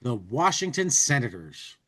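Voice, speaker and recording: A man's voice: mid-range voice, very animated, a little slow, a bit bright. A man hosting a podcast, chatting casually and reading comic lines aloud in a playful, dramatic way. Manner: acts out speech-bubble lines playfully. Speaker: podcast host reading an old humor magazine aloud.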